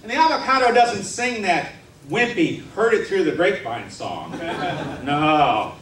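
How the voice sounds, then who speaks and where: A man telling a comic tall tale on stage in a theatrical, mock-serious way with dramatic pauses. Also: high-pitched, very animated. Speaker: humorous contest speaker. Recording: roomy hall, distant mic, faint noise.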